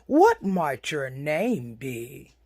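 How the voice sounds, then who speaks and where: A woman voicing a sly, hungry male storybook wolf with slow, silky, falsely polite speech. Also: deep voice, wildly swooping pitch, darkish tone, slightly rough, no echo, clean studio sound, pitched below the performer's own voice, full character voice. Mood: sly flattery.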